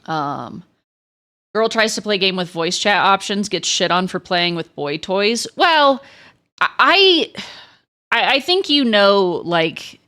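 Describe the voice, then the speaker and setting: A young woman with a crackly, lower voice, chatting frankly with stream viewers and swearing freely. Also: animated, takes it slowly, sharp tone. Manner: frank, swears a lot, many restarts. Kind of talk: casual chat to stream viewers.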